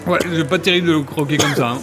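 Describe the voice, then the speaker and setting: A man's voice: a little high, very fast, over music, close to an everyday voice. A man playing a cheerfully clueless time-travelling sidekick in a comedic audio drama, casual and flippant.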